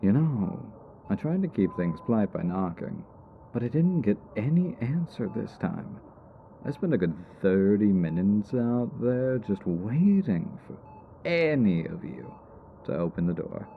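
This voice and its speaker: Man acting cocky low-voiced villain with gravelly edge. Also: very animated, measured pace, phone-quality sound, slight background noise, heavily acted. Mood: smug, teasing. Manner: smug, playful drawl with mock politeness. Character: cocky, teasing male supervillain as chatty hospital patient. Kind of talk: ASMR roleplay audio drama.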